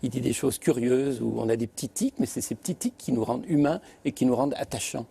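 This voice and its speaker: A man from France, a guest expert, explaining calmly in an interview with some hesitations. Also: mid-range voice, fast, vocal fry, husky. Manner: calm, explanatory, slightly hesitant.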